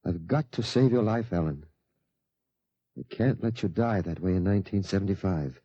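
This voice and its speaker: A man's voice: sits low, normal speed, warm tone, slightly rough. A man playing an earnest, burdened father in a 1950s radio drama. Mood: grave, resolute. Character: earnest, burdened father determined to save his son. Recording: dull old radio drama recording.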